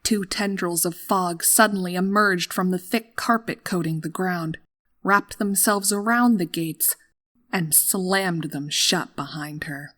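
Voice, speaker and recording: Slow, low-voiced woman narrating horror. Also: fairly bright tone. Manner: dramatic, deliberate storytelling. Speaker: fan fiction narrator. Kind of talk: scripted horror story narration.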